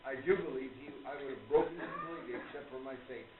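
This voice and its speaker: An earnest, fatherly older gentleman played by a man in a radio drama. He speaks formally and emotionally. He sounds off-mic, in a thin, roomy recording. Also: medium pitch, quick pace, a crowd in the background, close to an everyday voice. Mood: fervent. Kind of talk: radio play acting. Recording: distant tinny noisy room recording.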